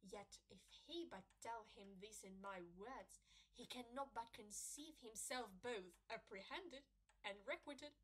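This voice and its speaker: A woman playing a proud, quick-witted young wife in an audio drama, speaking formally and theatrically as in early stage comedy. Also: fairly high pitch, husky. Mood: pensive, wary. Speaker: amateur actor.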